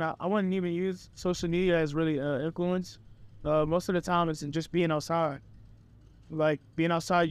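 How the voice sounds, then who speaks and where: A young man in a casual group chat, talking calmly and thoughtfully. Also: fairly high pitch, clean tone.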